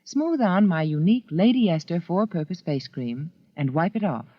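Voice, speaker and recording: Female spokesperson for 1940s radio ad: animated, deliberate, emphatic, dull vintage recording. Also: on the low side. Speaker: advertising spokeswoman. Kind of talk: 1940s radio commercial, scripted pitch. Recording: old muffled radio recording.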